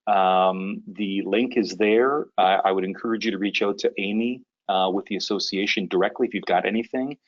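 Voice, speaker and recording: A man hosting a business conference call, giving an informal briefing in a conversational, somewhat halting way. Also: on the low side, fairly even intonation, lo-fi sound. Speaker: conference call host, association leader. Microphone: conference call connection.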